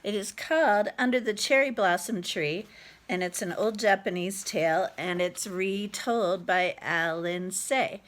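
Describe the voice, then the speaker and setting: Slow-paced woman reading to children. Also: medium pitch. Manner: addresses young listeners informally. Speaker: children's read-aloud storyteller. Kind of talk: storybook narration for children.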